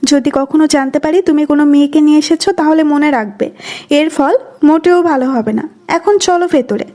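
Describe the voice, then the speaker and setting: A woman's voice: high-pitched, quick pace, dark tone, natural voice. A woman playing a bossy, jealous and playful young woman in love in an audio drama. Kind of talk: dramatised audio story dialogue.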